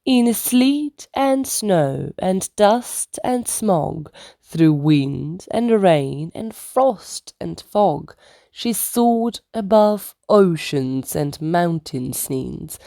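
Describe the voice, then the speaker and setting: A woman's voice: sits low, animated, very slow, gravelly, dark tone, no room sound, studio quality. A woman storyteller reading a rhyming children's book aloud as scripted narration.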